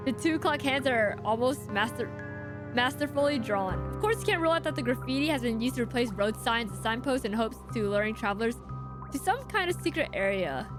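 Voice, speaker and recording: A woman streamer chatting casually and playfully as she reacts to a game, often exclaiming. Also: high voice. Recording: game music behind the voice. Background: video game music.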